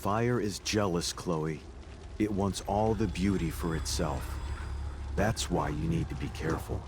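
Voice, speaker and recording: A man's voice: sits low, slight background noise. An actor playing a solemn middle-aged father who speaks cryptically in a dream, in a video game drama. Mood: quiet warning. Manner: measured, cryptic, philosophical.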